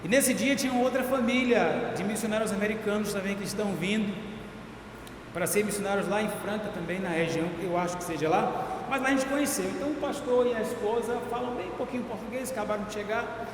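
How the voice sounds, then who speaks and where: A Brazilian man, a guest preacher, greeting the church and telling a personal story in a lively, storytelling way. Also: sits high, a little fast. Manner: warm storytelling, gets loud and excited at punchlines. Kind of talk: sermon opening with personal story. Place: large church hall. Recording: echoey church hall with long reverb, noisy.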